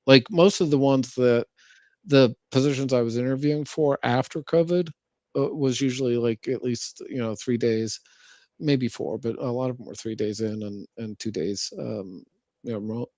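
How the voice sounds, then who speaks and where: American man, medium pitch, casual chat, halting conversational delivery, very dry close sound. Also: darkish tone, dry recording. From United States.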